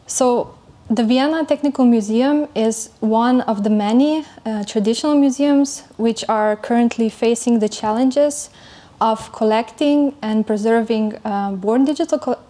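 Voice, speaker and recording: A woman giving an academic conference presentation in English, speaking carefully with frequent pauses and fillers. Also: a little high, mellow. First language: not German.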